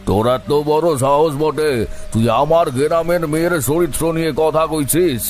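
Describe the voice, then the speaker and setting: A man's voice: on the high side, moderate pace, darkish tone, natural voice. A man playing an angry, threatening village man with a rural Bengali dialect in an audio drama. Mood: indignant. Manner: confrontational, threatening, repeats warnings. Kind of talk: amateur audio drama acting. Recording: background music under voice.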